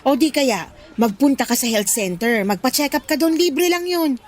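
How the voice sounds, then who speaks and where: A no-nonsense older workmate in a radio drama. Her high voice is frank and chiding, like an elder sister who questions everything. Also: expressive, normal speed. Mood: scolding. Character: blunt, scolding older female coworker, a mother herself. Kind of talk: Tagalog radio drama acting.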